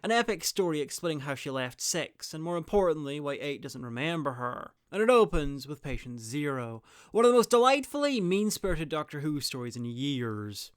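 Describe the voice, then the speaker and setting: A man's voice: on the high side, big pitch swings, normal speed, few pauses, clean tone, no room sound, studio quality. A man presenting a scripted review as the host, in narration style.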